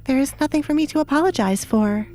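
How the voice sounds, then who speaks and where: Woman acting defiant, high-pitched young noblewoman. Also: quick pace, dark tone, faint music behind, close to an everyday voice. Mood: bitter. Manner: urgent, defiant. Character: defiant, mistreated young noblewoman, desperate and stubborn. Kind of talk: audio drama character acting.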